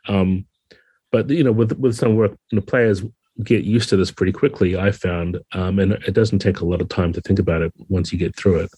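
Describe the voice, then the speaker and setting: A man with a deep voice talks through his ideas in a conversational interview. His delivery is level, with little melodic movement, and the recording is close and dry. He explains thoughtfully, qualifying points as he goes. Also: fairly even intonation, brisk, warm tone, slightly rough, no room sound, rough recording. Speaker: game designer, podcast guest. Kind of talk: conversational interview answers, explaining game rules.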